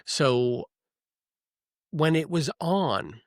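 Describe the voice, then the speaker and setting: A man co-hosting a podcast, talking through his thoughts in a casual, rambling way with frequent pauses and ums. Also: a little high, expressive, no room sound, studio quality. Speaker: podcast co-host. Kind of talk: casual review chat.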